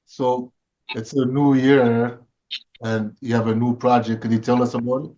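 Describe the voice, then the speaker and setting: A man's voice: medium pitch, level tone, moderate pace, warm tone, highs cut off. A man interviewing a guest, asking short questions and giving brief affirmations.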